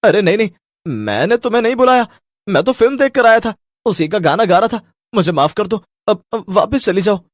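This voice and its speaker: A man's voice: sits high, sing-song, brisk, no room sound, studio quality, pitched above the performer's own voice. A man voicing a silly, film-loving ordinary fellow with theatrical exclamations in a Hindi cartoon story. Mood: terrified, pleading. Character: carefree, silly film-loving man who turns frightened.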